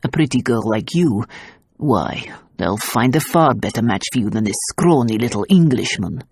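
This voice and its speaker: A woman reading an audiobook voices a stern, whiskered male police officer, speaking gruffly with a scolding manner. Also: rumbling low, animated, moderate pace, a little mellow, no echo, clean studio sound, pitched below the performer's own voice, exaggerated voice.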